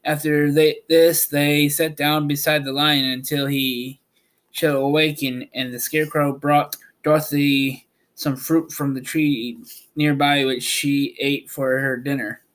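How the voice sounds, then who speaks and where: A man reading a children's story aloud as an amateur narrator, halting at times and correcting his words. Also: on the high side, level tone, a bit bright.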